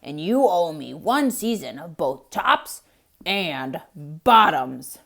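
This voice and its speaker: A woman's voice: low voice, very animated, takes it slowly, creaky, fairly bright tone, heavily acted. A woman voicing a grumpy, sleepy male bear who growls and hollers. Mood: grumbling.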